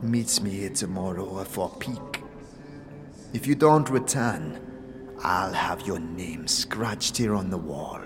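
A woman's voice: a bass voice, slow, music in the background. A woman playing a bitter, grieving street woman in a fantasy audio drama, with scornful, slangy, ungrammatical speech. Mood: low, menacing.

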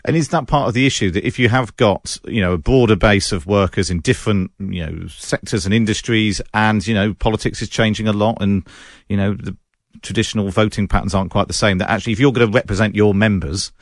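A male radio presenter who talks fast and frames pointed questions. His voice sits fairly low, and the sound is dry and close. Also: no echo. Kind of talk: broadcast interview and links.

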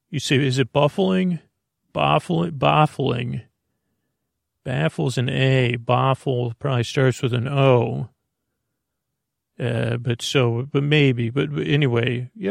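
A man hosting a sleep podcast in a creaky, gravelly voice, rambling haltingly through whimsical tangents and imagined back-and-forth with himself. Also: mid-range voice, dry recording, studio quality. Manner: meandering, tangent-prone, halting; whimsical wordplay, talks to himself in imagined dialogue. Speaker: podcast host.

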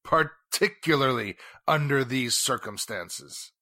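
Actor as deliberate, bitter 1940s radio businessman. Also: mid-range voice, lively intonation, pushed and bright, dry recording, exaggerated voice. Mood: stiff, formal. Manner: deliberate, emphatic, formal phrasing. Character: indignant, formal wealthy businessman, suspicious and bitter divorced husband. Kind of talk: 1940s radio drama acting.

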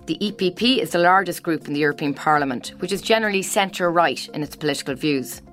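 A woman hosting a news programme, introducing guests and asking questions in a measured way. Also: low-pitched, a bit bright, soft background music. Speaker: news host. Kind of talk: news presenting and interviewing.

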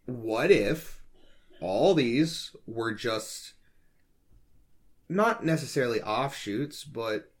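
A man co-hosting a podcast in casual chat, with teasing banter and a halting, think-aloud way of talking. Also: medium pitch, animated. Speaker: podcast co-host.

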